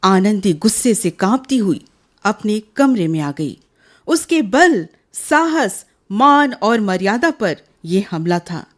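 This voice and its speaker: Casting: female radio drama narrator, medium pitch, animated intonation, unhurried scripted delivery.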